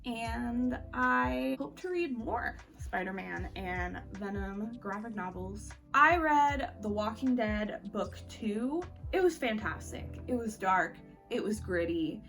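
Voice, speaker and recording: Woman, mid-pitched voice, chatty book reviewer, informal and slangy, opinionated, slightly distant mic, faint background music. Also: animated, slow pace, vocal fry, few pauses, fairly bright tone.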